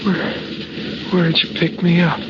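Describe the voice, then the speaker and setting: A man's voice: high-pitched, monotone, a little slow, background noise. An actor playing an exhausted, haunted male shipwreck survivor in 1940s radio drama style. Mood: dazed. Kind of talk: 1940s radio acting, first-person narration. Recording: muffled old radio recording, loud organ music.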